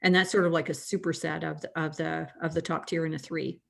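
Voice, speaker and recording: Canadian woman, slightly low, relaxed chatty expert on a call. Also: a little fast, creaky. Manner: chatty, laughs easily, restarts often. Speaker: risk management guest. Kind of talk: conversational expert explanation.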